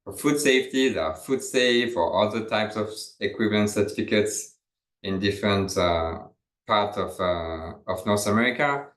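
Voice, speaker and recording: A man's voice: fairly low pitch, normal speed, a bit bright, echoey room, poor audio. French-accented man presenting a webinar. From France. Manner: loose and conversational, with fillers and restarts.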